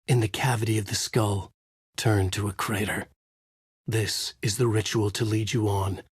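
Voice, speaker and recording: An actor playing a troubled novelist in a horror video game, narrating his dark story in a husky, grave, deliberate noir style. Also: fairly low pitch, fairly even intonation, dry recording, studio quality. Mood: grave, brooding.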